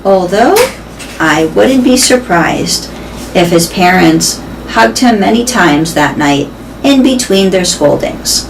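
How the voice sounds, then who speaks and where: A woman voicing a wise, weary old female elephant who tells stories in a gentle, measured, thoughtful way. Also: on the low side, expressive, vocal fry, noisy background, full character voice. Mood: calm storytelling.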